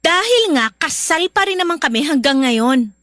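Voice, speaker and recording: A high-voiced, theatrical drama woman, speaking emphatically with big swoops of pitch. The delivery is radio drama, recorded close and dry. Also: very animated, normal speed, fairly bright tone, dry recording. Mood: indignant, pleading. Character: indignant estranged wife, pleading fiercely; also teasing coworker.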